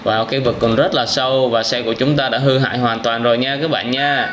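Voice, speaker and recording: Southern Vietnamese man over clearly noisy audio. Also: mid-range voice, fairly even intonation, brisk, fairly bright tone. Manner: exclamatory storytelling with frequent wows and addresses to viewers. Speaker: gaming reaction video host. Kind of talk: casual reaction commentary addressed to young viewers. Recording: clearly noisy with game vehicle sounds and sirens.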